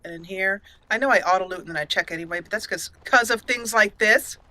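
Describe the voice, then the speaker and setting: A woman's voice: medium pitch, fast, bright, forceful tone, no room sound, poor audio. A woman hosting a let's play, chatting casually and self-mockingly as she plays, often giggling. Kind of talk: casual gameplay commentary. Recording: faint game music behind. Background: game music.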